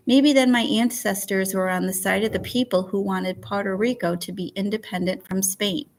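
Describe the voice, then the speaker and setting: A woman narrating a first-person story as an audiobook reader, in an expressive, storytelling way. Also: mid-range voice, gravelly.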